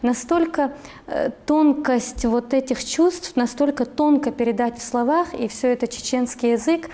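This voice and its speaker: Thoughtful woman teacher, mellow voice. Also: high voice, normal speed. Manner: thoughtful, with frequent hesitations. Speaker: teacher of Russian literature. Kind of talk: interview.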